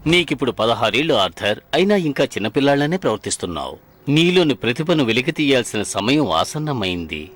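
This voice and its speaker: A man voicing a stern, authoritative father and stable master in a dubbed animated series, speaking in a measured, formal way. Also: mid-range voice. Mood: stern, urging. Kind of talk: animated series dub acting.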